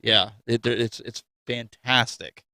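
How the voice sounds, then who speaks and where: A man's voice: medium pitch, steady tone, slow, pushed and bright, dry recording. A man co-hosting a gaming show, chatting casually to his audience and fellow hosts. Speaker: co-host of a gaming news show. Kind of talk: casual chat.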